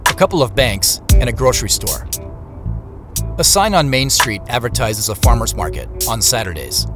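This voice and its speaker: An actor playing a reflective male traveler, giving measured, descriptive first-person narration in a scripted drama. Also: mid-range voice, lightly acted. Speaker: narrator and protagonist.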